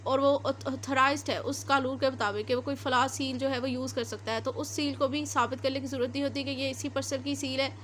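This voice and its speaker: A woman's voice: sits high, steady tone, fairly quick, few pauses, fairly bright tone, slight background noise. A woman with a Pakistani accent giving an explanatory lecture as a law teacher, mixing two languages as she reads out and explains. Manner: explanatory, switches between Urdu and English. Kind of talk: lecture, reading statutes then explaining them.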